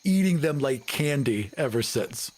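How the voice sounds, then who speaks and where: A man's voice: medium pitch, lively intonation, unhurried, darkish tone. A man playing a tabletop game, chatting casually and narrating his moves in a wry, profane manner.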